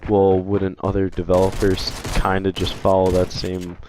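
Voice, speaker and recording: A young man with a hoarse voice from being sick, rambling through a casual opinion vlog with frequent restarts. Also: deep voice, monotone, moderate pace, background noise. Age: young adult. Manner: rambling, hesitant, many restarts. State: hoarse from illness. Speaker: video game commentator.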